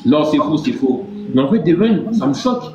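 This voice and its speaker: A man's voice: fairly high pitch, big pitch swings, fairly quick, background noise. Theatrical man hosting a live stream. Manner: theatrical mock outrage, stammering repeats. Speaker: livestream host. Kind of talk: casual livestream chat. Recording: roomy, dull lo-fi sound. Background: music.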